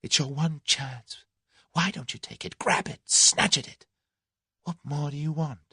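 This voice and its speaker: A plucky young English woman of an audiobook novel, acted out by a man. The voice is raised and very animated, earnest and stubborn, full of exclamation. The sound is close and dry. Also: medium pitch, moderate pace, no echo, voice pushed up. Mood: self-scolding. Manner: earnest, exclamatory, self-scolding. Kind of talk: audiobook character voice.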